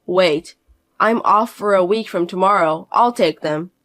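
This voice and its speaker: A woman's voice: lowish voice, measured pace, fairly bright tone, dry recording, clean studio sound. A woman voicing a grown man, a husband who sounds hesitant and pleading.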